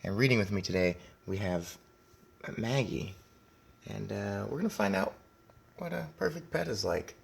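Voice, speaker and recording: A man with a lowish, creaky voice reads aloud to children like a teacher. He is deliberate and speaks straight to his young listeners, mixing story narration with simple commentary. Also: expressive.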